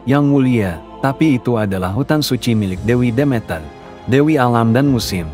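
A man voicing a cautious, fawning royal advisor who flatters and gently warns his king in a dubbed cartoon. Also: medium pitch, normal speed, soft background music, close to an everyday voice. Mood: deferential. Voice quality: deferential, flattering.

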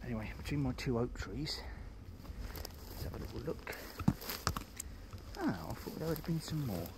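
A man's voice: medium pitch, sing-song, normal speed. A man casually narrating a foraging vlog outdoors on a handheld camera, rambling and half talking to himself. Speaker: vlogger and forager. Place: outdoors in a grassy field with trees. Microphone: handheld phone or camera. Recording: very noisy outdoor handheld recording, handling clicks.

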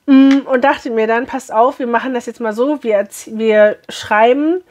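A woman in her thirties with a hoarse voice, chatting cheerfully to viewers as she unboxes a beauty box in a small room. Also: a little high, unhurried, darkish tone, slight background noise.

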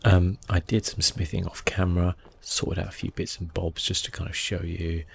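Low, gravelly man, hushed gaming commentary. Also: little pitch movement, normal speed, slight background noise. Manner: understated, hesitant, thinking aloud. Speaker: gaming video host. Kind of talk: relaxed ASMR game commentary.

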